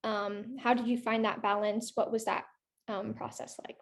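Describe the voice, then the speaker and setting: Teen girl interviewer, higher voice, polite and tentative. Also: level tone, moderate pace. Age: teens. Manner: polite, hesitant, many likes and ums. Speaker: interviewer for a youth organization. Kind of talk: interview questions.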